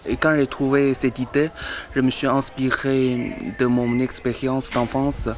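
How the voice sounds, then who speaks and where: A young woman from China, a student speaking in a halting way. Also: extremely low pitch, little pitch movement, a little hiss or hum. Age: young adult. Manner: hesitant, halting. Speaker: international student.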